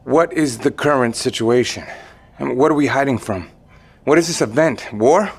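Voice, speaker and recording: A suspicious patient held in confinement in an audio drama. His voice is creaky and mid-pitched, and he questions tersely, demanding answers. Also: moderate pace.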